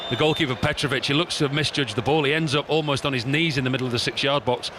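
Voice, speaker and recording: A man's voice: mid-range voice, racing. A man giving expert co-commentary on a football match, analysing the play and criticising poor finishing. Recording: noisy background.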